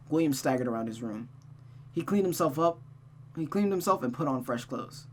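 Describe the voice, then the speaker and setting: A narrator reading a visual novel aloud in a dramatic storytelling manner, as a streamer does. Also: medium pitch. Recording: noticeable background hum and noise.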